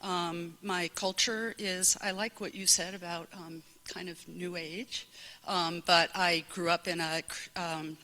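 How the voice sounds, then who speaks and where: A woman's voice: fairly low pitch, fairly even intonation, slow pace, sharp tone, poor audio. A middle-aged or older American woman giving an informal, tentative and self-conscious introduction of herself to a group. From small-town Connecticut, United States. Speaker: college science department staff member.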